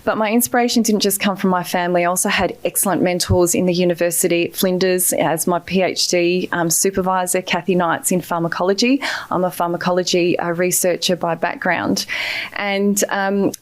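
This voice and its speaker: A woman's voice: fairly low pitch, steady tone, fairly quick. A middle-aged Australian woman giving an award acceptance speech, earnest and grateful, with many hesitant fillers. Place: ceremony hall.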